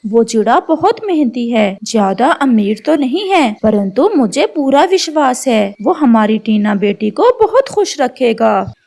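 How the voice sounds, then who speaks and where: Earnest, slow-talking pigeon auntie voiced by a woman. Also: on the high side, sing-song, vocal fry, a little mellow, full character voice. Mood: coaxing. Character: persuasive motherly pigeon aunt, a matchmaking neighbour. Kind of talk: children's cartoon dialogue.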